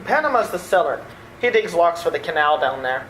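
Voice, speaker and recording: A woman's voice: fairly low pitch, sing-song, fairly quick. A woman playing an exasperated, wry and incredulous nephew in a radio play. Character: exasperated, flustered nephew, an adult man. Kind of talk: old-time radio play acting. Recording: echoey room, slight background noise.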